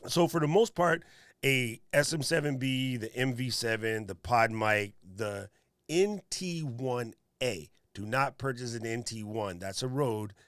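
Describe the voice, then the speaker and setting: Deep-voiced man, dry close sound. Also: unhurried, fairly bright tone, no room sound. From Hawaii resident. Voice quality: deep radio voice. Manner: emphatic, punchy, laughs at his own points. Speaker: streaming show co-host. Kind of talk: casual tech discussion.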